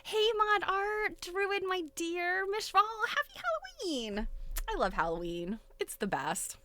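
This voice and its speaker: A woman's voice: very high voice, lively intonation, measured pace, bright, forceful tone, slight background noise. A middle-aged woman hosting a casual livestream, chatty and giggly, often breaking into exclamations. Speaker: livestream host.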